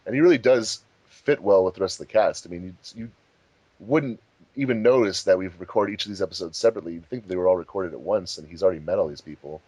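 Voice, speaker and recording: A man's voice: low voice, fast talker, a little mellow, no echo, rough recording. A man hosting a fandub commentary track, chatting casually about how the episode was made. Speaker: fandub producer and commentary host.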